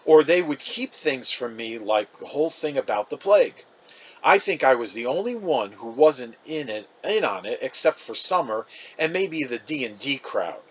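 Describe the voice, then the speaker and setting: A man's voice: medium pitch, big pitch swings, moderate pace, rough recording. A man reading a storybook as a chatty, wounded, defensive fifth-grade boy, casual and emphatic. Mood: defensive, resigned.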